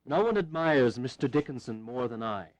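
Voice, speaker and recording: An actor playing a resolute, fervent revolutionary statesman in an audio history drama, with firm, measured, declamatory delivery. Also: medium pitch, expressive, close to an everyday voice, low-quality recording.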